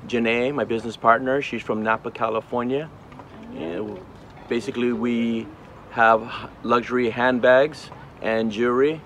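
A man in his forties, from Hawaii, talks about his brand at an outdoor red carpet event. He sounds upbeat and a little rambling, stumbling over words and filling pauses with "uh". The voice is mid-pitched, picked up on a handheld mic outdoors. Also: steady tone, a bit bright, a little hiss or hum. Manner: upbeat, rambling with fillers and restarts. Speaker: brand co-owner, interviewee. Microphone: handheld interview mic.

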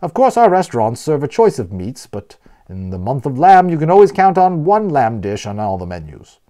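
A man's voice: medium pitch, big pitch swings, normal speed, warm tone, close to an everyday voice. A man voicing a genial, talkative man who explains things proudly and playfully as a guide in a storybook. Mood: proud, informative. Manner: explanatory, emphatic, playfully wordy.

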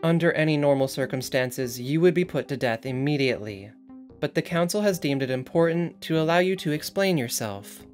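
A woman playing stern, cold council overseers in an audio drama, severe and deliberate with an authoritative manner. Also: deep voice, expressive, darkish tone, soft background music. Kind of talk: scripted audio drama interrogation.

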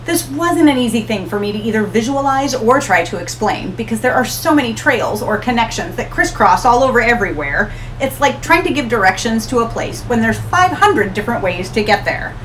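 A middle-aged woman hosting an ocean education channel, talking to camera in a small room with an upbeat, expressive manner. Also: on the high side, brisk, hardly any pauses, a little hiss or hum, rough recording. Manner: upbeat, expressive, gestures while explaining. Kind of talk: scripted educational talk to camera. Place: small room in front of a hanging tapestry.

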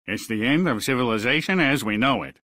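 An excitable little dwarf boss in a fairy-tale game. He blusters in a forceful, rough voice that bounces around animatedly. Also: medium pitch, brisk, no room sound. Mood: indignant. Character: bossy, excitable dwarf leader.

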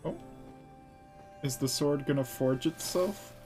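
A man's voice: a little high, slow. A man giving casual, joking, offhand live commentary as he streams a game. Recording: game music behind voice. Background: game music.

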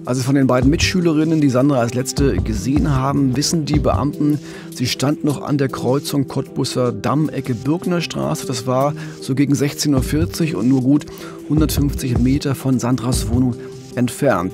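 A man's voice: mid-range voice, normal speed, over music. A man co-hosting a true-crime podcast, narrating a case in a conversational way. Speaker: podcast co-host and crime reporter.

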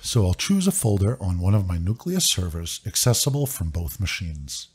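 A man narrating a scripted software walkthrough in a clear, upbeat, step-by-step instructive way. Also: deep voice, big pitch swings, normal speed. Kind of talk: scripted screen-recording walkthrough.

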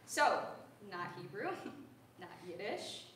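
A woman's voice: mid-range voice, expressive, slow pace, a bit bright, distant mic. An earnest young woman talks her audience through a lecture, conversational and dotted with ums. Her voice comes from well across a big recital hall, washed in heavy reverb over a faint hiss. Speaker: voice student giving a lecture recital. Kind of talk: spoken lecture. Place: large recital hall with a grand piano. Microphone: far-off room camera. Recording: echoey hall, heard from afar, faint hiss.

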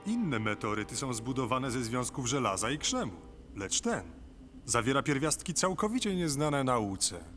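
Cartoon man, medium pitch, lively intonation, clear music behind. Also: moderate pace. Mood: explanatory. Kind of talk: dubbed cartoon acting.

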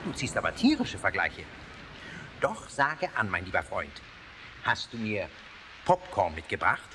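Casting: playful little castle ghost for kids' audio drama, hugely animated pitch, exclamatory, over noisy effects. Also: male voice, unhurried, heavily acted. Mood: playful, puzzled. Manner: exclaiming, playful, swooping delivery. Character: cheeky, jolly little castle ghost, loves popcorn. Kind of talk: children's audio drama acting.

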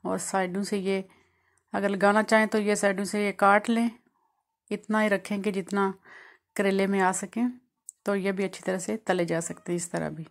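A woman from Pakistan hosting a home cooking channel, calmly walking through each step of a recipe in a voice-over. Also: mid-range voice, moderate pace. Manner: calm, explanatory, walks through each step.

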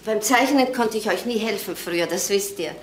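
A woman's voice: medium pitch, normal speed, echoey room, a little hiss or hum, natural voice, rough recording. An actress playing an earnest, wistful widowed mother of grown sons, hesitant and imploring, in a naturalistic crime drama. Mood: pleading, wistful.

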